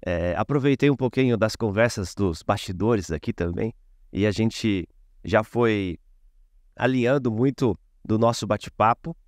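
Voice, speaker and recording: A man's voice: lowish voice, measured pace, dry recording, clean studio sound. A man hosting an interview, speaking in a hesitant way with many fillers. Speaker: podcast host.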